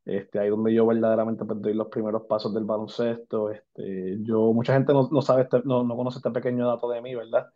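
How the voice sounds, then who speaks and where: A man's voice: fairly low pitch, little pitch movement, fairly quick, few pauses, lo-fi sound. A man in his forties with a Puerto Rican accent, chatting as an interview guest and mixing Spanish and English with lots of fillers. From Puerto Rico. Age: 40s. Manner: switches between Spanish and English, many fillers. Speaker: guest, basketball agent. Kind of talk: casual interview talk.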